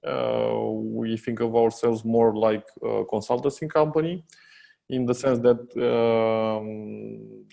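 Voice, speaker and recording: A man with a Romanian accent, a software company co-founder talking as a podcast guest. He hesitates a lot, with many fillers and restarts. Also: medium pitch, steady tone, takes it slowly, gravelly. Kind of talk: casual interview chat.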